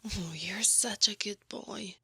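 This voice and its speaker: Breathy, slow-talking older centaur woman, acted by a woman. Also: medium pitch, heavily acted. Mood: soothing, affectionate. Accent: Italian. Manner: soft, breathy, coaxing, often whispering. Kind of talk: ASMR roleplay.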